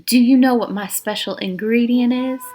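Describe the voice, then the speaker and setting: A woman reading aloud the part of a coolly menacing camp warden in a storybook, deliberate and falsely polite. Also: a little high, mellow, pitched above the performer's own voice, lightly acted. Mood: quietly menacing.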